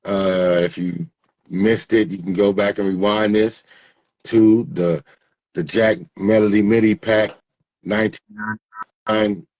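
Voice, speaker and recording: Lowish, close and dry man's voice. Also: steady tone, measured pace, a little mellow, no echo, rough recording. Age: 50s. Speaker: music producer, interview guest. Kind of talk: casual chat.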